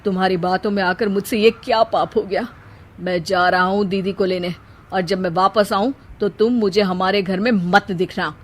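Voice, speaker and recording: A woman's voice: mid-range voice, fairly even intonation, moderate pace, natural voice. A woman voicing an earnest, emotional young man, the younger brother in a cartoon moral story. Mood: remorseful.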